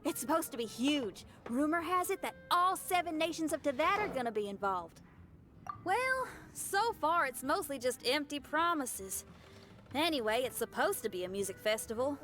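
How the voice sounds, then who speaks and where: Bright, high, brash young rocker. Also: female voice, very animated, normal speed, soft background music, heavily acted. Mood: frustrated. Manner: folksy, slangy. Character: brash, cheerful young female rock musician. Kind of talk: game character voice acting.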